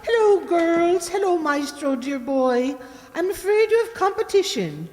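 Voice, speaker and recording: Brassy, coy landlady, played by a woman, lilt, high voice, radio comedy in echoing hall. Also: very animated, measured pace, a little mellow, exaggerated voice. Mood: coy, gleeful. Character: coy, flirtatious, brassy middle-aged Irish landlady. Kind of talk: old-time radio comedy acting.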